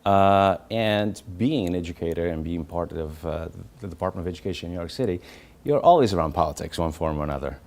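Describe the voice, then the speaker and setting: A man from Portugal, a local councilman, giving earnest, measured interview answers. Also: low-pitched, darkish tone. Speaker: local politician guest.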